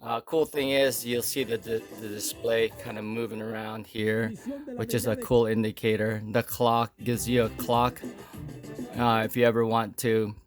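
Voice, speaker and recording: A man giving a casual, unscripted hands-on demo, explaining plainly in short, halting phrases with pauses between them. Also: medium pitch, level tone, faint music behind. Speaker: product demonstrator.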